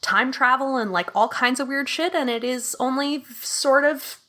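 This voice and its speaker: A woman with a bright, forward voice sits a bit high in pitch. She talks as an interview guest, informal and enthusiastic, in the loose manner of casual conversation. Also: normal speed. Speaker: podcast guest, audio fiction producer.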